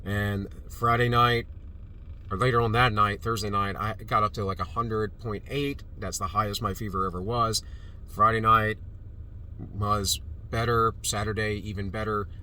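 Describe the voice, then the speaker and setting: A man's voice: on the low side, little pitch movement, normal speed, pushed and bright. A man recovering from a cold who talks in a rambling, dismissive way, with many fillers and restarts. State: recovering from a cold, slightly stuffy. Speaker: vlogger. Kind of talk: casual opinion monologue. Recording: distant mic with street noise.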